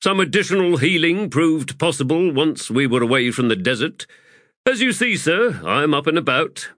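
A man voicing a cheeky, bitter wounded soldier with a sardonic, quick tongue. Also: a little high, big pitch swings, a bit bright, no room sound, studio quality, pitched above the performer's own voice.

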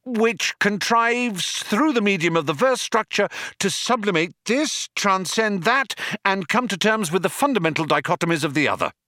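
A man voicing a nervous, eager human fellow who flatters and flounders in a bright, gushing storybook voice. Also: sits high, measured pace, no echo, clean studio sound, pitched above the performer's own voice, heavily acted. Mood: triumphant gushing.

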